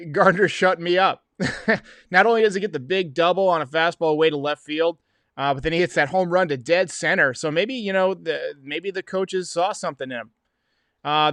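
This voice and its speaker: A man in his thirties with a slightly hoarse voice, giving casual, emphatic postgame sports commentary as a livestream host, close on a desk microphone. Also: a little high, fairly bright tone, no echo. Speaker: host of a baseball livestream show. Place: small home room.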